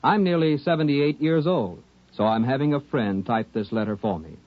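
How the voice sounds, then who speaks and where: A man reading scripted announcements as a formal, measured 1950s radio announcer. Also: medium pitch, animated. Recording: muffled 1950s radio recording.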